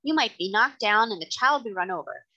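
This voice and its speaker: A woman's voice: mid-range voice, expressive, brisk, bright, forceful tone, dull audio. A woman voicing a kindly, firm male cab driver in a storybook, plain-spoken and respectful. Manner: plain-spoken, respectful working-class address.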